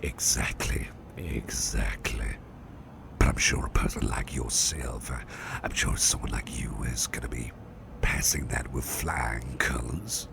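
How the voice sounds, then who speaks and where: A man voicing a courteous, attentive businessman boss in soft, breathy ASMR roleplay, speaking gently and politely and repeating his phrases. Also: a little slow, noisy background, heavily acted. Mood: polite, reassuring. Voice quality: very deep, gravelly, breathy near-whisper.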